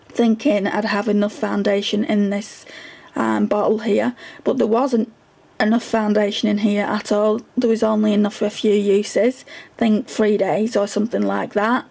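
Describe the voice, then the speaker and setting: Chatty woman with a gravelly, tiring voice. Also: medium pitch, darkish tone. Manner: chatty, frequent ums, repeats words for emphasis. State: voice going hoarse. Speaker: beauty vlogger. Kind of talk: casual product review.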